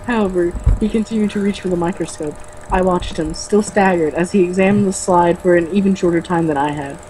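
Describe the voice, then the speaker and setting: A woman's voice: on the low side, fairly quick, dark tone, a little hiss or hum, rough recording. A woman reading a book aloud as a co-host, mocking it with sarcastic, exasperated comments.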